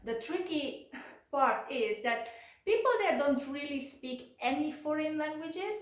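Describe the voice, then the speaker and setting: A woman's voice: high voice, reverberant. A young woman with a Hungarian accent, telling a casual storytime vlog in English and filling her talk with "like" and "you know". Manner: chatty, lots of filler "like" and "you know". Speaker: vlogger.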